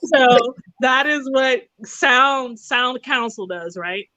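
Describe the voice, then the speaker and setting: A woman's voice: high voice, slow pace, sharp tone, no room sound. A woman talking conversationally and explaining things emphatically through anecdotes and analogies.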